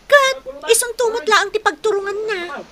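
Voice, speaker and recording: A woman's voice: extremely high pitch, crowd noise. An actress playing a bubbly young woman, a teasing best friend who meddles as matchmaker in a radio drama and draws out her words. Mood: playful teasing. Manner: slow drawn-out teasing delivery.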